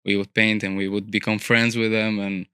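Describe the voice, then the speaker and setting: A man's voice: on the low side, flat delivery, normal speed, sharp tone, clean tone, no echo, studio quality. A young man from Albania, an architecture student, chatting as a podcast guest in a thoughtful way with many fillers and restarts. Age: young adult. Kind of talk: casual interview conversation. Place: studio.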